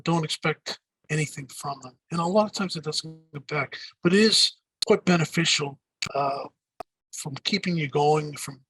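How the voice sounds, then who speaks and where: An older man with a deep Boston accent, answering interview questions in a rambling way, with many fillers and restarts. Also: fairly bright tone, husky, low-quality recording. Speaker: guest.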